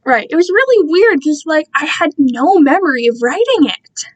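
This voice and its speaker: High-voiced, rambling young woman chatting casually. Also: lively intonation, moderate pace. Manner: rambling, self-deprecating, giggly internet slang. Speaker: amateur writer reading own fan fiction. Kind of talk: casual livestream chat.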